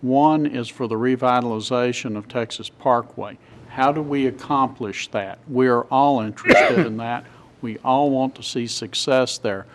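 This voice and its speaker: A man's voice: medium pitch, a little hiss or hum. Man losing his voice at a meeting. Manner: measured, explains at length with restarts and apologies. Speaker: planning commission member. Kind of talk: public meeting discussion. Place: city council chamber.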